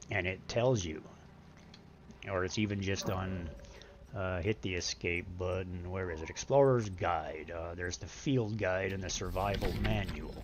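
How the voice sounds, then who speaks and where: A middle-aged man with a deep voice streaming a game, chatting casually, explaining patiently and reading menu items aloud. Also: a little hiss or hum. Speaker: live streamer. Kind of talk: casual gaming stream chat.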